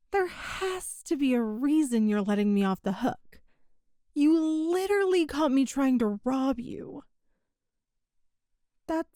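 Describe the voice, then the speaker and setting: A young cat-girl burglar, played by a woman, sheepish and flustered, answering back when caught. The voice sits high and the words come slowly and unhurried. It is recorded close and dry, with no room sound. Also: dark tone, no room sound. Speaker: ASMR roleplay performer. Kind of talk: ASMR audio roleplay.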